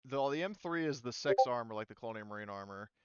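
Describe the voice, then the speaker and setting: A man's voice: mid-range voice, expressive, quick pace, clean tone, dry recording, dull audio. A man running a tabletop game as game master, explaining rules in a hesitant way with many ums and restarts.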